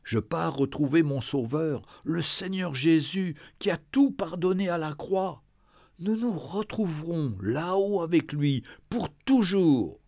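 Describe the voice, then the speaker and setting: A man voicing a dying old father who speaks softly, hushed and slowly. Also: fairly high pitch, animated, dry recording, studio quality, voice pushed up, full character voice. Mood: tender. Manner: soft, hushed and slow.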